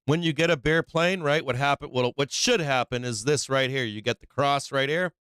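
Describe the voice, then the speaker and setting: This is a man with a clean, resonant voice of medium pitch, recorded dry with hardly any room around it. He talks like the host of a live trading stream, moving loosely between chat and market commentary. His language is blunt, slangy and freely profane. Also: moderate pace, fairly bright tone, clean tone, no room sound.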